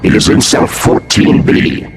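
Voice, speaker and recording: A man plays a cold game villain with a breathy, voiceless tone. He commands slowly and deliberately, with faint music behind. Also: lightly acted. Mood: menacing. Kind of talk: animated superhero game voice acting.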